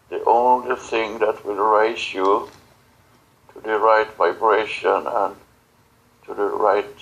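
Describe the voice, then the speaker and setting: Slow, halting man channeling a spiritual message. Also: fairly low pitch, vocal fry, a bit bright, low-quality recording. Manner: halting, solemn, broken phrasing. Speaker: channeler in guided visualization session.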